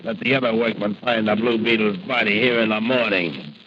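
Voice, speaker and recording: An actor playing a smug, crooked saboteur henchman with gravelly, slangy gangster talk in 1940s radio style. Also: fairly low pitch, brisk, lightly acted. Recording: muffled old radio recording, faint noise.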